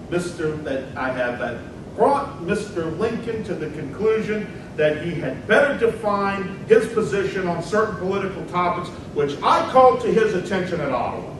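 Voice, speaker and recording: A combative 19th-century senator acted out by a man declaims in a high-pitched voice, formal and emphatic. It is a dramatic reading of a stump debate speech, picked up at a distance in a very reverberant hall with clear rumbling noise. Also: natural voice.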